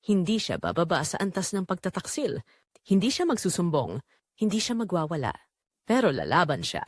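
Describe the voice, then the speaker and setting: A woman with a Filipino accent narrates a scripted story dramatically, in measured, suspenseful short phrases. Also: lowish voice, sing-song, dry recording, clean studio sound.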